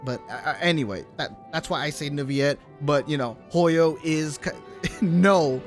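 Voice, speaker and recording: A man's voice: on the high side, normal speed. A man livestreaming a game, chatting informally and rambling to his chat in slangy commentary. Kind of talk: casual live commentary to chat. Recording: game music behind the voice. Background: video game music.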